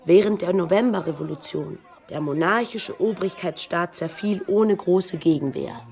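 A woman narrating a radio documentary in a calm, reflective way. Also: on the low side, moderate pace.

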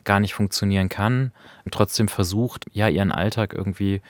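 A man's voice: fairly low pitch, steady tone, fairly quick, no echo. A man co-hosting a podcast, reflecting and explaining in an unscripted analytical conversation about radio plays. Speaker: podcast co-host.

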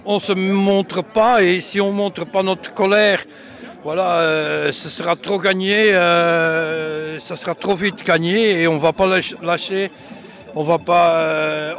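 Brief: non-native man, slightly high voice, halting answers full of restarts, outdoor protest interview. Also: fairly bright tone. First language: not French. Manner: halting, many restarts and fillers. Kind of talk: hesitant street interview answers. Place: outdoor street demonstration.

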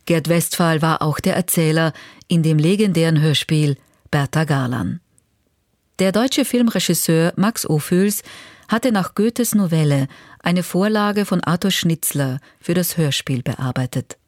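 A woman's voice: low voice, a little slow, darkish tone, dry recording. A woman presenting a radio magazine, reading scripted narration into a close studio microphone.

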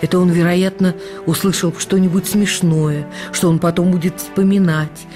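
An actress playing a writer's widow, fondly reading her memoir for radio in a measured, reflective storytelling manner. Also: lowish voice, warm tone, close to an everyday voice. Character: writer's widowed actress wife fondly recalling their life.

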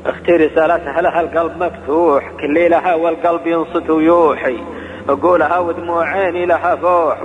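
Male reciter, quick rhythmic verse, somewhat high, thin phone-like sound. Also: in a large hall. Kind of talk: poetry recitation.

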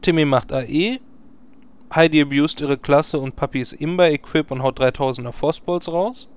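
Man as a wry, higher-pitched raid leader. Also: normal speed, clean tone, close to an everyday voice. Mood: brisk, commanding. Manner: sarcastic. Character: wry, exasperated raid leader giving orders. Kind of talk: German audio-play parody acting.

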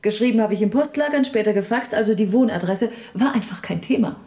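An actress in a naturalistic radio drama playing a sceptical, knowledgeable tourist with a dry, matter-of-fact manner. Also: female voice, medium pitch, quick pace, darkish tone, natural voice. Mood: irritated.

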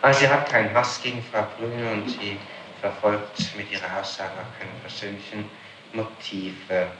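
A man formally questioning someone as an interrogator, probing and deliberate, on an old 1960s tape. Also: on the low side, flat delivery, sharp tone, echoey room, far from the mic, a little hiss or hum, poor audio.

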